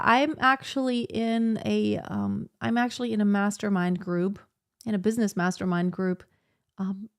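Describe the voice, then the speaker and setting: A woman in her thirties chats through a live stream as a vocal coach, answering viewers in a loose, rambling way. Her voice sits at an ordinary middle pitch. She talks with plenty of fillers and restarts. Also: a little mellow. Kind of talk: casual live-stream chat reading viewer comments. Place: home in southern Germany.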